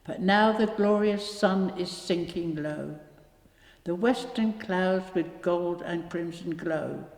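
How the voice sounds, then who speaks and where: Slow, reverberant woman reciting verse. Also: lowish voice, darkish tone. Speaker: poetry reader. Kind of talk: measured poetry recitation. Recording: echoey hall with long reverb.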